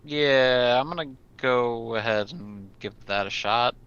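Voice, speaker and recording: A man's voice: medium pitch, takes it slowly, a bit bright, clean tone. A man chatting casually as a player in an online tabletop roleplaying game, over voice chat. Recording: dull lo-fi voice chat.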